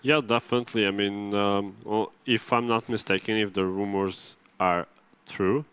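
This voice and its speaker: A Serbian-accented man hosts a casual esports desk show. His voice is smooth and fairly low, and he talks in halting bursts, restarting phrases and laughing between them. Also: steady tone, a bit bright. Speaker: studio desk host.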